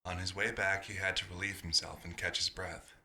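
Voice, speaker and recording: A man's voice: low voice, flat delivery, brisk, pushed and bright, heavily acted. A man narrating a scripted story in the third person.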